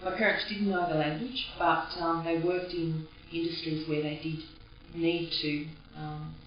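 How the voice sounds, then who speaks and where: A woman with an Australian accent, raised speaking Greek at home, recalling her childhood in a reflective, halting way. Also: sits low, flat delivery, a little hiss or hum. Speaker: interviewee. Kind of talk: personal reminiscence. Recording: echoey, distant, dull recording.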